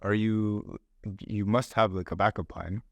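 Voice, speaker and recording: Relaxed young podcast host, "like" everywhere. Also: male voice, fairly low pitch, unhurried, creaky, no room sound, muffled recording. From Sweden. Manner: relaxed, heavy use of "like" and "um". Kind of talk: casual podcast chat.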